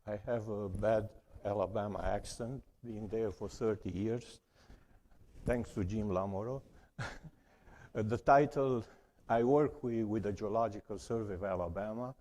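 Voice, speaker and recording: A man's voice: lowish voice, measured pace, warm tone. A man from Romania with a Romanian accent, giving a conference presentation in careful, slightly broken English. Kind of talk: academic conference talk.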